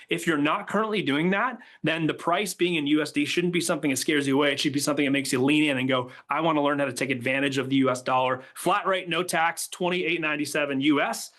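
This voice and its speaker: A man's voice: a little high, fast, few pauses, fairly bright tone. A man from Canada co-hosting a live online workshop, enthusiastic and chatty as he answers questions about a coaching program. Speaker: workshop co-host. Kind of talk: live webinar sales pitch.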